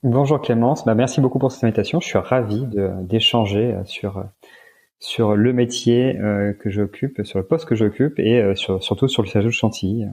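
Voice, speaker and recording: A middle-aged man from northern France with a French accent, talking casually and haltingly as an interview guest. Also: on the low side, warm tone, breathy edge. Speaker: interview guest, events manager.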